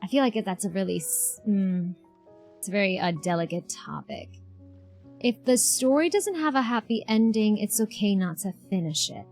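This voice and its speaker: A woman streamer giving casual, expressive, exclamatory live reactions to a video game. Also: medium pitch, moderate pace. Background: game music.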